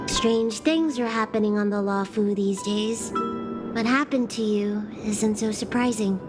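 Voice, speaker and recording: A woman's voice: medium pitch, unhurried, natural voice. A woman voicing an earnest, thoughtful little girl healer in an anime game. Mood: matter-of-fact. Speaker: game character voice actor. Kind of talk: scripted anime game dialogue. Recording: loud music behind the voice.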